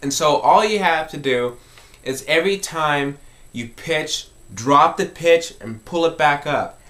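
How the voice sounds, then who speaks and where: A man's voice: a little high, unhurried, fairly bright tone. A man talking informally as he hosts an instructional music tutorial.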